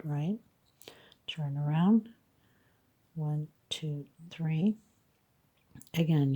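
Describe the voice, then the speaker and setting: Woman, congested, gravelly voice, slow patient crochet tutorial, flat delivery. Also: low-pitched. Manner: slow, patient counting of stitches. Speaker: crochet instructor. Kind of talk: step-by-step craft tutorial.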